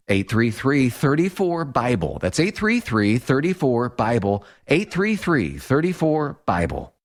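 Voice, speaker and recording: A man reading a radio advertisement in a casual, conversational and persuasive way. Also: medium pitch. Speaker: advertisement announcer.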